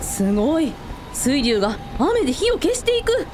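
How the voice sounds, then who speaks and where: Woman as a brash, animated big sister. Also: sits high, moderate pace, very noisy. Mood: astonished. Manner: bossy, rough-mouthed. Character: brash, hot-blooded elder sister, shrine priest. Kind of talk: radio drama acting.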